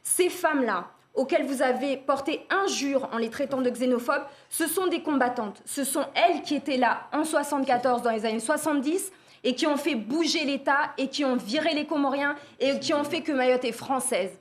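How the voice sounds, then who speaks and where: A woman's voice: high voice, moderate pace. A woman arguing with passion as a guest in a televised debate. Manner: impassioned, forceful argument.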